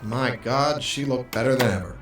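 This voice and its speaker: A man's voice: medium pitch, normal speed, a bit bright, natural voice. A man playing a hard-boiled, world-weary 1940s private investigator in a noir radio drama, with a wry, brooding manner. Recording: roomy, distant microphone, music underneath.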